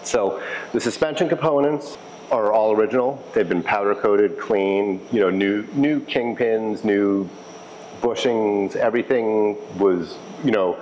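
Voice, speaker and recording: A man speaking as a restoration technician in a casual interview, explaining in a halting, conversational way and repeating phrases. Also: lowish voice, rough recording. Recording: clear steady background noise.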